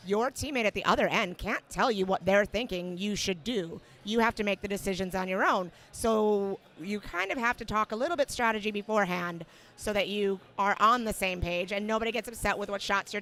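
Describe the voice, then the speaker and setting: Slightly hoarse woman commentating. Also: mid-range voice, brisk. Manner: relaxed, explanatory, many fillers. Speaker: match commentator. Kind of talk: casual sports commentary.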